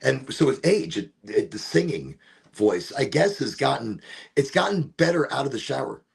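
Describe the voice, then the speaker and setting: A man with a deep, gravelly voice and a husky edge hosts a relaxed solo session. He rambles loosely from one tangent to the next. His pitch rises and falls in lively swings. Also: normal speed. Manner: rambling, wanders off topic, breaks into off-key singing. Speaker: host of a smoke session. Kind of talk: rambling casual monologue.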